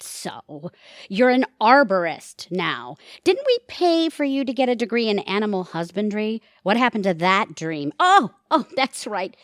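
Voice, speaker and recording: A woman's voice: medium pitch, sing-song, a little slow, no echo, studio quality. A woman playing a prickly, self-absorbed middle-aged mother with a sardonic, cutting manner in an audio theatre comedy-drama. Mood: sarcastic, irritable. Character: prickly, self-absorbed retired mother, sharp-tongued and sarcastic.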